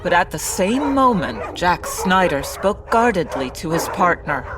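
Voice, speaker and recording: A woman's voice: fairly low pitch, very animated, a little slow, background noise. A woman playing an earnest narrator of an old-time radio adventure serial, reading scripted dramatic narration. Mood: suspenseful.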